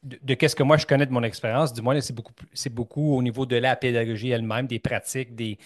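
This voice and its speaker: Voix d'homme au timbre moyen, ontarien, animateur jovial et causant. Enregistrement sec, sans écho de pièce, qui donne une présence proche et directe. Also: male voice, medium pitch, steady tone, fast, no room sound, clean studio sound. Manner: chatty, upbeat, many fillers and restarts. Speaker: podcast host. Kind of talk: casual interview chat.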